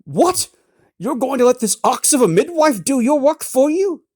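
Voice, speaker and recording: A narrator voicing a shrill, demanding, self-important noblewoman who screeches her complaints. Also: big pitch swings, slow pace, a little mellow, husky, full character voice. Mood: shrill imperious. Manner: screeching, imperious.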